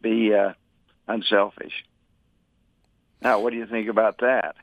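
A man's voice: on the low side, flat delivery, measured pace, vocal fry, no room sound. A man calling in to a radio talk show, earnestly making a point with scripture. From Utah. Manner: earnest, preachy, cites scripture. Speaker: radio caller. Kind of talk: phoned-in call to a radio show. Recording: tinny phone line.

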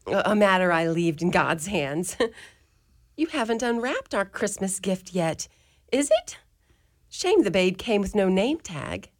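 Woman doing a bossy mother superior, lively lilting voice. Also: mid-range voice, unhurried. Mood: teasing, cooing. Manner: commanding, then cooing. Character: brisk, stern but tender Irish-style Mother Superior.

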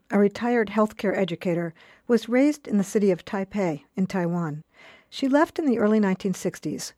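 A woman narrating a scripted radio profile in an even, storytelling manner. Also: mid-range voice, no room sound, clean studio sound. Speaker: radio program managing director.

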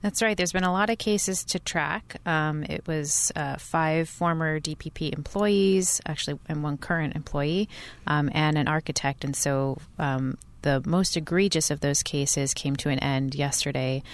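Very low-voiced woman reporter. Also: unhurried. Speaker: news reporter, interview guest. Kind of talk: conversational news reporting.

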